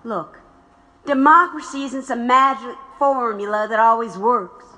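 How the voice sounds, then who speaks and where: A woman's voice: a little high, measured pace, sharp tone. A woman playing a tough, reflective widowed revolutionary in an audio drama. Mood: wry, resigned.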